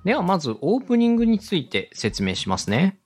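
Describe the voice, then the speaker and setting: A man's voice: high-pitched, huge pitch swings, quick pace, a little mellow, husky, soft background music. A man hosting an instructional podcast, explaining things politely and step by step to a co-host. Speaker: host, radio director teaching podcast production.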